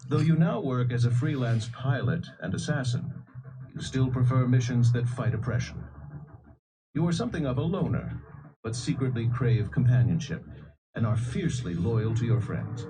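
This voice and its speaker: An actor narrating a science fiction adventure game in a solemn, scripted storytelling style, addressing the listener directly. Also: male voice, mid-range voice, lightly acted, poor audio. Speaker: narrator of a voice-based role-playing game. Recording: music bed under narration. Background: background music.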